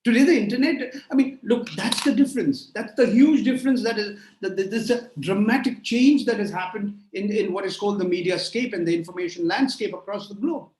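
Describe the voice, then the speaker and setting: A man's voice: sits high, brisk, hardly any pauses, a little mellow, echoey room, slight background noise, rough recording. A man in his sixties answering audience questions in a lecture, emphatic and rhetorical, piling up phrases in lists. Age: 60s. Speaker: guest speaker. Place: remote call from a room.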